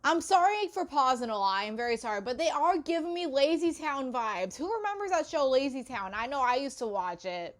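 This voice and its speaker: Reaction host: woman with a high, swooping voice, informal and exclamatory. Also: big pitch swings, fairly quick, few pauses. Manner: informal, exclamatory, apologetic asides. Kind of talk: casual reaction commentary.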